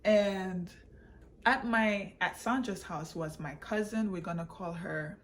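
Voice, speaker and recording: A woman's voice: fairly low pitch, takes it slowly, slight background noise. A woman telling a personal story casually, repeating phrases for emphasis and slipping into Patois. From born in Jamaica, raised in England and the United States. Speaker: vlogger and author telling her own story.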